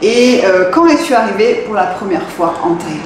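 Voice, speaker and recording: A woman's voice: medium pitch, expressive, moderate pace. A woman hosting a casual interview on her channel, friendly, laughing often and asking short questions. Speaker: host of the channel. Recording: echoey room, noisy.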